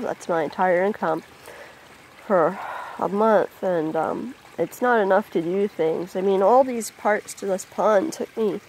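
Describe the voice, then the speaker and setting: A person talking casually outdoors by a backyard pond into a handheld phone, rambling and hesitant with many pauses and ums. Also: medium pitch, gravelly, slight background noise, poor audio. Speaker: vlogger. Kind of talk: casual spoken vlog. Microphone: phone held by the speaker.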